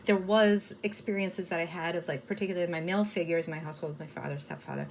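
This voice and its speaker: Guest, woman, 40s, Boston accent, lower voice, animated and laughy, slight background hiss. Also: fast talker. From United States (near Boston). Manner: animated, laughs often, many "like" fillers. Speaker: activist guest. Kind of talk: casual interview talk.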